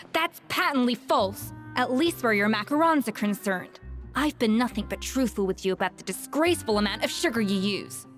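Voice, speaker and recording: Woman playing a proud, high-voiced chef. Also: animated, normal speed, faint music behind, lightly acted. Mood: frank. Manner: haughty, precise, lecturing. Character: proud, exacting female chef, self-assured and blunt. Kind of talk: video game voice acting.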